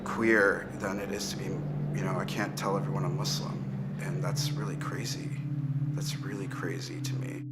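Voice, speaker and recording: A man's voice: low-pitched, flat delivery, normal speed, very noisy. A man giving conversational personal testimony in an interview. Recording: prominent music bed under speech. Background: music.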